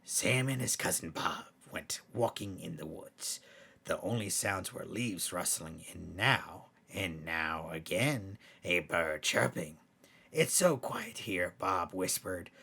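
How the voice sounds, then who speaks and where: A man with a gravelly, raspy voice reading scary short stories as scripted narration. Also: low voice, sharp tone. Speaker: storyteller.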